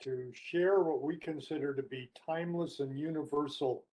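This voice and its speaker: Older man, slightly raised pitch, dark warm tone, earnest explanatory webinar discussion. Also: steady tone, moderate pace, low-quality recording. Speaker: webinar panelist and co-author.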